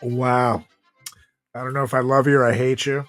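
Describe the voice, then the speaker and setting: A man's voice: mid-range voice, flat delivery, moderate pace, over music. A man hosting a podcast in casual, joking chat, often repeating his own phrases. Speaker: podcast host.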